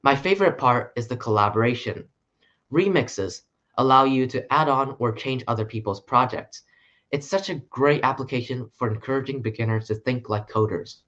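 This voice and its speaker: A man's voice: medium pitch, normal speed, fairly bright tone, muffled recording. Earnest young man giving a talk. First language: English. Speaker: presenter. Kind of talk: short conference talk.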